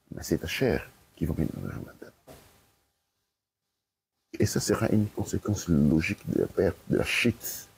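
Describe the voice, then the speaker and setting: A man's voice: low-pitched, gravelly, a little mellow, slightly rough, rough recording. A man giving lecturing interview answers, emphatic and repetitive, often checking with "ok?". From Italy. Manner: emphatic, repeats his points, checks with "ok?". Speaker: guest speaker.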